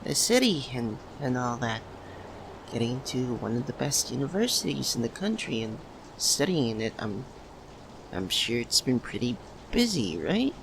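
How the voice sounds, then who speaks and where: A man playing a shy, lovesick young university student, speaking hesitantly in an ASMR roleplay with stammers and pauses. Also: mid-range voice, rough recording. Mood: awkward, shy. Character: shy, lovesick young man, nervous childhood best friend. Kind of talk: ASMR roleplay, soft emotional monologue to the listener. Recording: noticeable steady background ambience noise.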